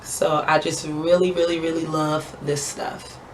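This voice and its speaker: A young woman with a raspy, low voice chatting heartfelt and casual as a beauty vlogger while doing her skincare at home. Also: level tone, unhurried, a little hiss or hum, poor audio. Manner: chatty, heartfelt, thanks God often.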